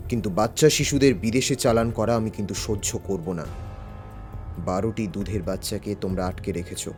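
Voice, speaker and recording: A man acts a principled detective in an audio drama. His lowish voice is calm, firm and deliberate, with stern moral weight. Faint music sits underneath. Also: close to an everyday voice. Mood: stern defiance. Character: stern, principled veteran male detective, unafraid. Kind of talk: Bengali audio drama acting. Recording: music behind the voice.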